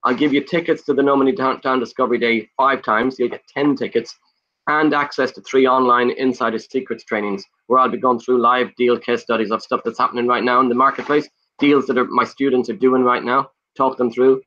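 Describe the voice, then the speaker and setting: A man with an Irish accent, whose first language is Irish, talking fast and eagerly as a guest on a video call, rattling off lists in a pushy sales pitch. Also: steady tone, fairly bright tone, muffled recording. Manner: fast, pushy, lists things off in rapid bursts. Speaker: guest, property investor. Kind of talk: enthusiastic sales pitch in conversation.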